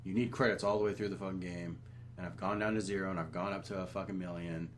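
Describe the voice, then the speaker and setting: A man's voice: low-pitched, little pitch movement, fast, slight background noise. A man chatting casually about games as a podcast co-host, offhand and profane. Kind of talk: casual gaming chat.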